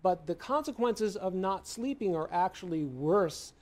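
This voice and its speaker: A man's voice: high-pitched, expressive, a little slow, a little mellow. A man with an American accent explaining things as a medical expert in an interview.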